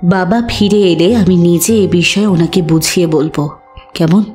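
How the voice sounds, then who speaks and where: Mother role actress, audio drama: middle-aged, lower warm-toned voice, calm, persuasive, music behind. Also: normal speed, close to an everyday voice. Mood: reassuring. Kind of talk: Bengali audio drama acting.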